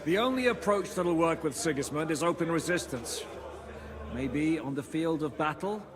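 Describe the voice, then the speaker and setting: An actor playing a plain-spoken, cynical military commander in a medieval video game, blunt and sardonic. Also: male voice, fairly high pitch, animated, normal speed, lightly acted. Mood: sardonic, frank. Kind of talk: dramatic video game voice acting. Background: crowd.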